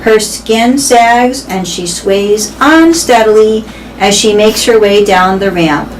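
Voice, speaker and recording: A woman with a mid-pitched voice reads a children's novel aloud. She narrates it as a storyteller. There is faint noise and room ambience behind her. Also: takes it slowly, distant mic, low-quality recording. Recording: clear background noise.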